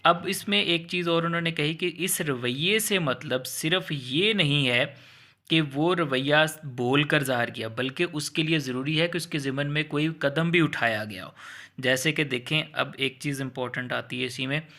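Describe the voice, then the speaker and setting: A man's voice: fairly high pitch, normal speed, bright, forceful tone. A man with a Pakistani accent giving an explanatory law lecture in a conversational, step-by-step way, repeating points and mixing in English legal terms. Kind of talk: explanatory lecture with hypothetical examples.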